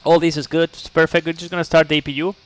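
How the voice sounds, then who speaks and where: A man's voice: on the high side, level tone, rapid-fire, no echo. A man from Chile, speaking English, streams a flight sim live. He explains each step patiently, checks in with "okay?", and chats with viewers. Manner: chatty asides to viewers.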